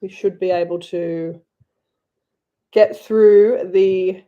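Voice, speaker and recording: A woman's voice: mid-range voice, steady tone, drawn-out words, mellow, highs cut off. Australian woman with a sore throat. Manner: chatty, addresses viewers directly. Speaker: craft livestream host. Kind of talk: casual livestream chat while crafting. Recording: faint background music.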